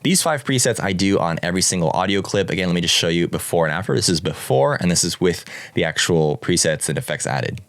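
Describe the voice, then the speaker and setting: Deep-voiced man explaining audio edits. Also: fast, few pauses, no echo. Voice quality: low, bassy voice. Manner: relaxed, explanatory, a little rambling. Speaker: video editing tutor. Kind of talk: tutorial voiceover.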